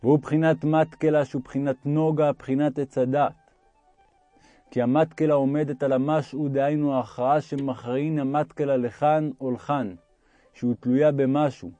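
A man's voice: mid-range voice, fairly even intonation, dark tone, dry recording, lo-fi sound, faint music behind. A man reading a religious text aloud as an audiobook narrator.

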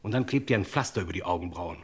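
An actor in a German radio crime drama, playing a film star's brisk, scheming friend who persuades and takes charge. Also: male voice, deep voice, lively intonation, slightly rough. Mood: instructing.

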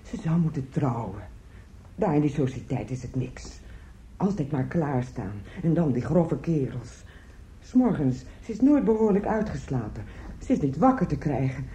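A woman's voice: low-pitched, very animated, normal speed, mellow. An actress playing a fretful, meddling older woman in a radio drama, plaintive and garrulous. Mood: plaintive, complaining. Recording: echoey, noisy old radio drama recording.

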